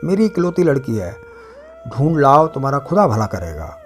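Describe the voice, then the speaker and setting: A man's voice: medium pitch, big pitch swings, normal speed, faint music behind, close to an everyday voice. A man voicing a weary old father who pleads earnestly for his lost daughter in a story reading. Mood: pleading, anxious.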